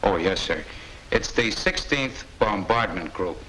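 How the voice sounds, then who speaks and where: Naive, bright-voiced American sergeant. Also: male voice, on the low side, expressive, unhurried. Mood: deferential. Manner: deferential, hesitant. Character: naive, obliging captured American bomber sergeant. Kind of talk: 1940s film acting. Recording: noisy 1940s film soundtrack.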